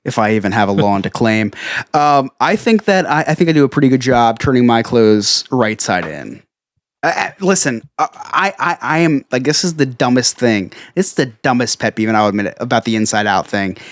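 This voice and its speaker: A man's voice: mid-range voice, sing-song, normal speed, no echo, clean studio sound. A man with a New Jersey accent, co-hosting a casual podcast, a bit tipsy, rambling and self-mocking and laughing often. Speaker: podcast co-host. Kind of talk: casual chat.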